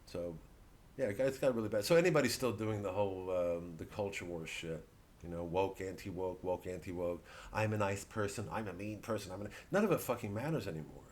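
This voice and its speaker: A man's voice: low-pitched, animated, fairly quick, a little mellow. A male comedian rambling through a blunt, indignant stand-up rant, swearing freely.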